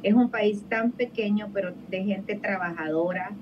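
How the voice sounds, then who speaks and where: A woman's voice: mid-range voice, moderate pace, lo-fi sound, noisy background. A middle-aged woman from El Salvador with a Salvadoran accent, a talkative guest on a video-call interview who laughs easily. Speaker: guest, financial advisor. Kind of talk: interview conversation. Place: office. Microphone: video call webcam.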